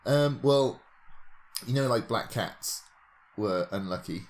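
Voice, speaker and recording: A man's voice: medium pitch, takes it slowly, a little hiss or hum. A British man in casual, jokey podcast banter, rambling as he talks. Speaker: podcast co-host.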